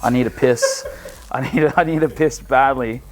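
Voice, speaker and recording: A man's voice: mid-range voice, fairly even intonation, normal speed, soft background music, rough recording. A man swearing his way through a rambling story. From Samoan heritage. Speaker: DJ, podcast host. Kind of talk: casual drunken storytelling.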